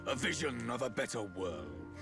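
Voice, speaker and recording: Game villain: grand visionary, taunting and theatrical, under very loud music. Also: male voice, medium pitch, very animated, moderate pace, gravelly.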